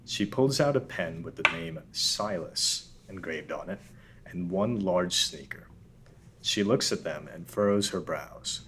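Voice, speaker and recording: A man with a low-pitched voice narrates a table read. He delivers scripted narration and stage directions. Some noise can be heard behind him. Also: expressive, unhurried, fairly bright tone, poor audio. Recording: noticeable background noise.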